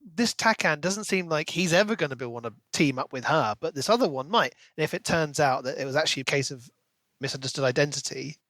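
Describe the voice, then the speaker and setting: A man's voice: on the high side, quick pace, sharp tone, no echo. A man co-hosting a casual book discussion, talking in an enthusiastic, speculative and conversational way. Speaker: podcast co-host.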